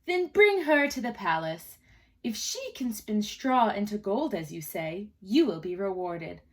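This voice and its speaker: A woman voicing a greedy, harsh fairy-tale king in a storybook read-aloud, speaking in slow, commanding threats. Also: mid-range voice, big pitch swings, low-quality recording. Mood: stern, threatening.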